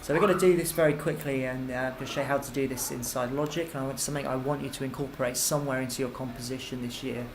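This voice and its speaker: Informal man teaching, clearly noisy recording. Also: medium pitch, fairly even intonation, racing, hardly any pauses. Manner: informal, explanatory, step-by-step. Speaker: music technology teacher. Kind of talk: software tutorial narration. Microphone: computer microphone.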